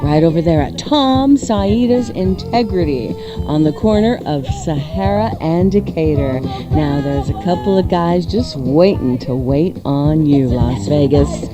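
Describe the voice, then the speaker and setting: A woman hosting a radio show and reading ads in a sultry, playful, drawn-out way. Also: low-pitched, lively intonation. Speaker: radio show host and ad reader. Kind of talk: live-read radio ad patter. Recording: music behind the voice. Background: music.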